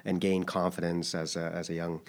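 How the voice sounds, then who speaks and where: Low-voiced guy in his 30s, grew up in japan, stops and restarts a lot while he talks. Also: little pitch movement, fairly quick. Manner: thoughtful, hesitant with many restarts. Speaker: interview guest. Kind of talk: casual interview.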